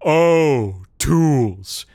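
A man voicing a huge, menacing businessman giant who talks in a booming, sarcastic way. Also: lively intonation, no room sound, studio quality, close to an everyday voice. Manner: slow, theatrical, swings loud then soft. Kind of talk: storytelling narration.

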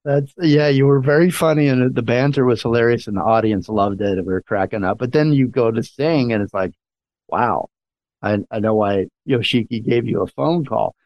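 A man's voice: mid-range voice, moderate pace, dull audio. A man hosting a casual interview, enthusiastic and rambling, restarting his sentences as he praises his guest. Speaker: host.

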